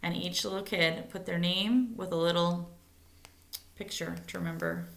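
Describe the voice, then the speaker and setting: A woman's voice: fairly low pitch, normal speed. Woman reading a picture book, echoey room. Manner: expressive, addressing young children. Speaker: teacher reading aloud to pupils. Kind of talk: read-aloud of a children's picture book with asides. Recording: echoey, roomy sound.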